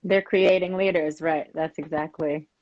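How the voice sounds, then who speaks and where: A woman's voice: low-pitched, steady tone, darkish tone. Bubbly woman host on a muffled call. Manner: bubbly, laughs often, quick reactions. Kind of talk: casual live interview. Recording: dull, lo-fi video call audio.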